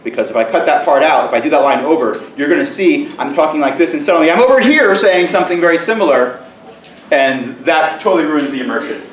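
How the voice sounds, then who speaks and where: A middle-aged male teacher giving a conference presentation in a loud, booming voice with joking asides, recorded from far off in a large room. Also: lively intonation, fast, roomy sound, a little hiss or hum. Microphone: camera mic from far off.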